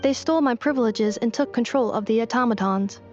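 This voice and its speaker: Woman as polite young robot girl, anime game: highish voice, calm, formal, precise and machine-like, music underneath. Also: brisk, lightly acted. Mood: calm, analytical. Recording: slightly dull game capture with music.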